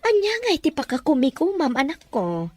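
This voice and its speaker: A woman's voice: high-pitched, wildly swooping pitch, brisk, a little mellow. A woman with an Ilocano accent playing a worried older mother in a radio drama, fretful and protective. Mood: tender. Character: worried older mother fussing over her daughter. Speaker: visiting mother.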